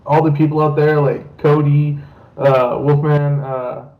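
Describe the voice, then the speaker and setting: A man's voice: a little high, little pitch movement, measured pace. A man in his twenties who hosts movie reviews, rambling through a casual group chat with lots of fillers. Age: 20s. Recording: dull lo-fi mic.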